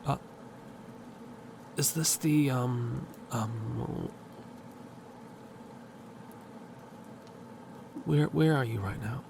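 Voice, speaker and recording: A man's voice: mid-range voice, creaky, noisy background. A man playing a cocky, self-assured handyman. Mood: businesslike. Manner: cocky, teasing, unhurried. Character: confident self-centered handyman, smooth and teasing. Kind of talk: audio roleplay. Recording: music bed underneath.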